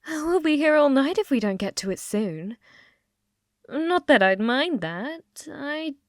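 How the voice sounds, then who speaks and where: A woman's voice: high voice, very animated, takes it slowly, dry recording, studio quality, voice pushed up, full character voice. A woman playing a possessive young yandere in an ASMR roleplay, teasing and coolly threatening, often dropping into a whisper. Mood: smug, possessive.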